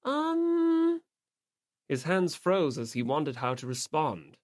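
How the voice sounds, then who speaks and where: A woman's voice: rumbling low, moderate pace, dry recording, studio quality. A woman reading scripted story narration as an audiobook narrator.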